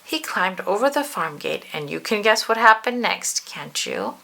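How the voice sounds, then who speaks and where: A woman reading a children's storybook aloud in an animated way, stretching words for emphasis and addressing the listener. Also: medium pitch, moderate pace, vocal fry. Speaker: storybook reader. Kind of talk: expressive children's read-aloud narration.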